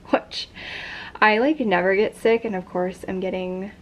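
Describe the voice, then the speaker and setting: A woman's voice: mid-range voice, fairly even intonation, measured pace, a bit bright, a little hiss or hum, rough recording. A young woman vlogging in a casual chat, congested and stuffy, with a sore throat, talking in a rambling way full of fillers. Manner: chatty, many fillers like "like" and "um".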